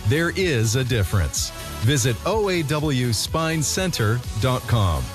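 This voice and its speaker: A man's voice: medium pitch, very animated, takes it slowly. A man reading a scripted radio advertisement as announcer. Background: music bed.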